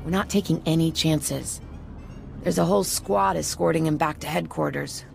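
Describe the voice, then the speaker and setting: A woman's voice: low-pitched, moderate pace, gravelly, a little mellow, soft background music. A woman playing a wry, battered police officer in a video game, sounding weary and in pain. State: pained, woozy.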